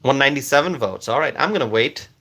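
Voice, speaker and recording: Bright-voiced male live stream host. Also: mid-range voice, expressive. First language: Bengali. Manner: friendly, thanks viewers by name, halting with fillers. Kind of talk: casual live stream chat reading comments.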